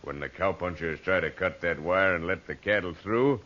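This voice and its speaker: An actor playing a scheming, gloating western swindler with folksy taunts, in old-time radio acting. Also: male voice, low-pitched, normal speed, a bit bright. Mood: sly scheming. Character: scheming, sneering male western villain, gloating land swindler. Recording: muffled old radio recording.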